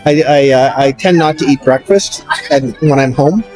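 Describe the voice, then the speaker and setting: Older man, relaxed and chatty. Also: mid-range voice, normal speed, faint music behind, poor audio. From Canada. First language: English. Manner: friendly, relaxed, repeats words when starting. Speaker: interviewee, lawyer. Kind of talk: casual street interview. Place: outdoors on a city street.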